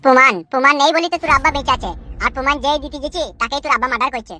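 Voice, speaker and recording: Cartoon thug, voiced by a man: young, vengeful, taunting, very fast and high, rural West Bengal accent. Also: bright, forceful tone, a little hiss or hum, exaggerated voice. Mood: threatening. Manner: taunting, bullying. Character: menacing, vengeful young male gangster kidnapper. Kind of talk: comic cartoon dub.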